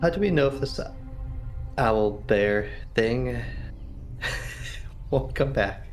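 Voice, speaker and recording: A man's voice: medium pitch, expressive, drawn-out words, soft background music. A man playing a tabletop roleplaying game, chatting casually in a wry, joking way.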